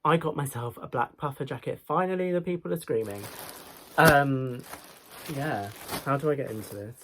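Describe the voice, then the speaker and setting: A man's voice: medium pitch, moderate pace, background noise. A young man, chatting through a clothing try-on haul in a small bedroom; he has a cold that makes him sound a little nasal, and he rambles with fillers and restarts. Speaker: fashion vlogger. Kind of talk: casual try-on haul vlog. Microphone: camera mic, moving toward and away.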